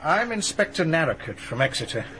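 A methodical police inspector. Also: male voice, on the high side, sing-song, a little fast, sharp tone, poor audio. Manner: polite, probing questioner. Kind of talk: radio drama acting. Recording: archive radio drama with light hiss.

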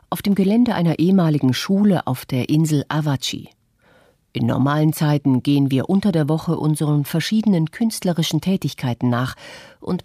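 A woman voicing an adult artist who recounts memories in a measured, earnest way, as scripted radio documentary narration. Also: low-pitched, mellow, breathy edge, dry recording, studio quality.

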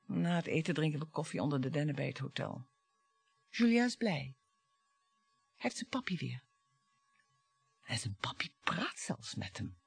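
A woman's voice: deep voice, expressive, normal speed, vocal fry, long pauses, breathy edge, no echo, heavily acted. An actress in a radio play playing a bitter, taunting middle-aged wife and mother, sarcastic and dramatic. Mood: bitter reminiscing. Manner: sarcastic, dramatic, swinging between hushed and biting.

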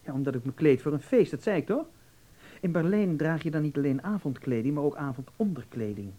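Flamboyant dandy, high swooping voice. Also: male voice, animated, brisk, dark tone. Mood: vain, playful. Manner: arch, drawling, mockingly patronizing. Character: flamboyant, worldly young dandy, vain and teasing. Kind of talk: radio play acting.

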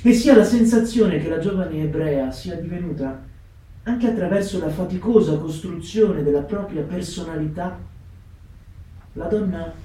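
Very mellow, solemn high-voiced narrator. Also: male voice. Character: earnest, admiring male narrator of a life story. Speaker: actor narrating a staged reading. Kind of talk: solemn scripted theatrical narration. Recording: very echoey stage, distant microphone.

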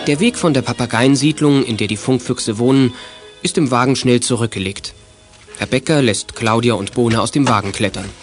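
A man's voice: mid-range voice, normal speed, natural voice. A man acting as narrator of a youth detective radio play, reading scripted adventure narration. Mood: storytelling. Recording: music under the voice.

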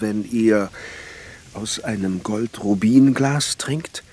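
An actor playing a brooding, philosophical court alchemist in a radio drama, with a husky, breathy voice and formal old-fashioned phrasing. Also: male voice, on the low side, a little mellow, slight background noise. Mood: challenging.

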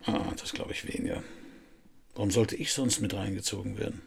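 An actor playing a wry, world-weary private detective who narrates a crime radio play in a dry, laconic, gravelly voice. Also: male voice, on the low side, steady tone, a little fast, heavily acted. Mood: musing.

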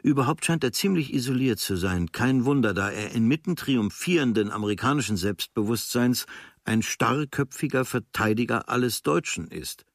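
A man reading a literary travel diary in a measured, observational way, with clipped phrases. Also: medium pitch, lively intonation, no echo, clean studio sound. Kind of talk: scripted literary diary narration.

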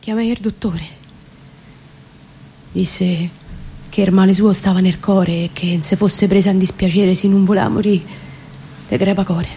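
A woman with a Roman accent playing a distraught working-class man confessing in dramatic dialect verse, pleading and halting. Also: mid-range voice, steady tone, dark tone, close to an everyday voice. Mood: grieving. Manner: pleading, halting, emotive delivery. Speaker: reciting actress. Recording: live radio performance with background noise.

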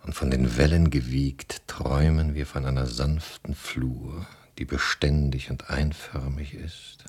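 An actor playing Odysseus, a flattering, evasive seafaring husband come home, with a husky, breathy voice in a German radio play. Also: male voice, very deep voice, a little slow, heavily acted. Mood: seductive flattery. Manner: flattering, placating, theatrical.

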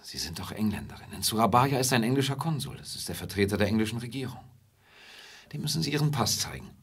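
An actor playing a reserved, calm European gentleman in an intimate radio drama, husky and matter-of-fact. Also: on the low side, fast talker, full character voice. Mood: restrained.

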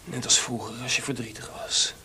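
An actor playing a bitter, boastful, maudlin drunken composer in a Dutch radio drama, with a theatrical, volatile, rambling delivery. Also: male voice, medium pitch, little pitch movement, fairly quick, bright, forceful tone, a little hiss or hum. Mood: melancholy, tender.

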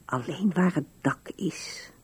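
A woman's voice: on the low side, takes it slowly, exaggerated voice. An older actress playing an old widow in a radio drama, musing to herself with resignation at her husband's grave. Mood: mildly grumbling. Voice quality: husky, creaky. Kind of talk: 1970s radio drama monologue.

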